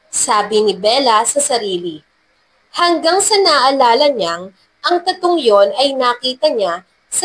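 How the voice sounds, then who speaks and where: A woman narrates a scripted love story. Her voice sits fairly high as she reads the storytelling passages. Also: pushed and bright, poor audio.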